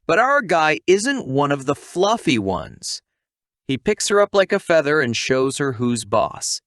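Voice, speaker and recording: A man's voice: a little high, sing-song, unhurried, fairly bright tone, no room sound, studio quality. A man narrating a scripted story recap, with casual asides to the listener.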